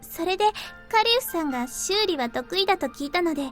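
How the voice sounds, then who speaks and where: Casting: petite, cutesy, courteous game maid, sky-high voice, fast speech over music. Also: female voice, animated, fairly bright tone. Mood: polite, earnest. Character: cheerful, childish-faced petite young adult maid woman, polite. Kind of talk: Japanese visual novel voice acting. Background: background music.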